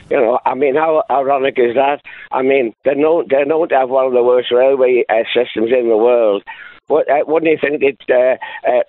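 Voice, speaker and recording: Hoarse man on a phone line. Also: mid-range voice, dry recording. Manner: rambling, halting with many fillers. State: sore throat, losing his voice. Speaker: caller. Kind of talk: phone-in call.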